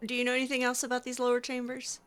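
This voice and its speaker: A woman's voice: on the high side, monotone, rapid-fire, fairly bright tone, smooth. A woman playing a video game in character, giving casual commentary with wry, sarcastic, skeptical asides. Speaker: video game player roleplaying as a mage character.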